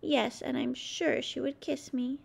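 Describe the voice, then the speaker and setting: A woman's voice: high-pitched, level tone, unhurried, gravelly, slightly rough, exaggerated voice. A woman voicing a small, lonely young male bird in a storybook, eager and plaintive, exclaiming and pleading. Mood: earnest.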